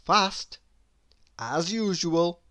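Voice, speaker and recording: A woman reading a children's story aloud in an expressive narrating style. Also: lowish voice, extremely slow. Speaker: storybook reader.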